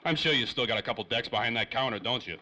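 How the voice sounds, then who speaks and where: A man's voice: mid-range voice, quick pace, pushed and bright. An actor playing a tough, menacing former private detective in a 1930s-style radio crime drama, talking in clipped, slangy tough-guy lines. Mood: needling.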